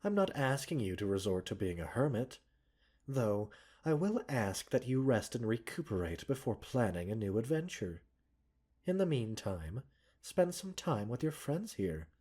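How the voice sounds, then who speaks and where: A man playing a gentle, caring doctor in a roleplay ASMR, speaking softly and breathily with measured, polite, gently chiding formality. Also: mid-range voice, sing-song. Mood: fond, reassuring. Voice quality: soft, breathy. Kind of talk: roleplay ASMR, scripted character acting.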